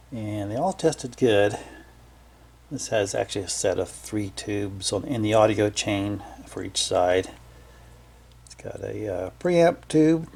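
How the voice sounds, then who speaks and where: Low, gravelly man thinking aloud. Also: a little mellow. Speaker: hobbyist electronics repairer presenting his project. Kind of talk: casual, unscripted workbench narration. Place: small indoor home workshop at a bench.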